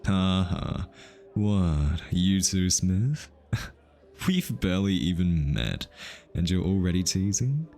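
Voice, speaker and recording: A man's voice: sits low, sing-song, takes it slowly, mellow, exaggerated voice. A male voice actor playing an easily flustered, teasing hitman who is smitten, in an ASMR roleplay. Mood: teasing, defensive. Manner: teasing, stammers and backtracks when flustered.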